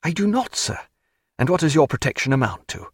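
A man voicing a cool, defiant gentleman in an audiobook, with challenging, cutting, formal period diction. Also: on the high side, big pitch swings, a little fast, breathy edge, no room sound, clean studio sound. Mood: cold defiance. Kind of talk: dramatised audiobook character voice.

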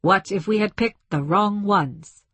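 A woman voicing an unyielding, authoritative alien from a spaceship in formal, measured declarations for a science fiction story. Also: medium pitch, fairly bright tone, husky, dry recording. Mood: insistent.

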